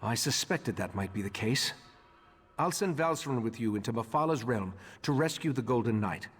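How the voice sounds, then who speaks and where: A calm, grave mystic leader from a fantasy game. His delivery is formal and measured, with a slightly husky grain and a long hall reverb. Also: mid-range voice. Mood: grave, composed. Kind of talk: video game voice acting.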